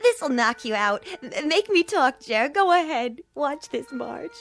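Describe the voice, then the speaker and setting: A woman's voice: high-pitched, animated, unhurried, fairly bright tone, soft background music, heavily acted. A woman playing a playful, flirty, chatty nightclub showgirl in a radio play. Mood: teasing.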